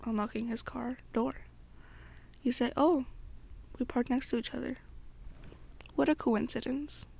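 Young woman doing asmr story narration, kinda high voice w lots of fry. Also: darkish tone. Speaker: amateur ASMR story creator.

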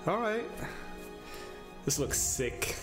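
A man's voice: fairly high pitch, sing-song, drawn-out words. A young adult man giving casual playthrough commentary as a streamer, speaking into a microphone indoors. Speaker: gaming streamer. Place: small room indoors. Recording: loud game music behind voice.

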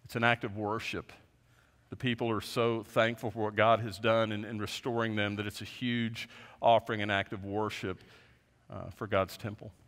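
A man in his fifties, a pastor preaching a sermon from a lectern in a large church, earnest, often dropping his voice low at the end of a point. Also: flat delivery, normal speed, fairly bright tone. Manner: earnest, gestures widely, voice drops low at ends of points. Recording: long reverb of a large sanctuary.

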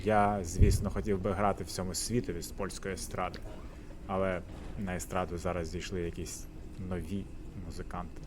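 Pompous drunken virtuoso, played by a man, low and grandiose. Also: flat delivery, moderate pace, noisy background, lightly acted. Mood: wistful. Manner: grandiose, philosophizing. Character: pompous drunken male virtuoso musician living in a doghouse.

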